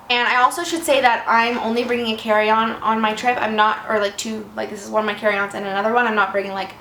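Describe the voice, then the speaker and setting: Casting: twenties woman, slightly raspy from a cold, rambly and self-deprecating, higher voice, echoey room. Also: fairly bright tone. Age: 20s. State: fighting off a cold. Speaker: vlogger. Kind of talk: casual chat. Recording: echoey room with faint noise.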